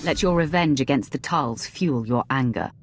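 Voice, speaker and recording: A woman's voice: extremely low pitch, moderate pace, music in the background. A woman playing a cold, ruthless Sith warrior in a video game, with terse, imperious delivery. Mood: cold resolve. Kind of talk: dramatic video game acting.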